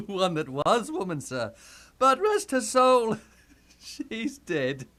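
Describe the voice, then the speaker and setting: A man's voice: high-pitched, wildly swooping pitch, extremely slow, pushed and bright, exaggerated voice. A man playing a cheerful, cheeky gravedigger in a puppet-show Shakespeare, with a chuckling, singsong, rustic manner. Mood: cheeky, amused.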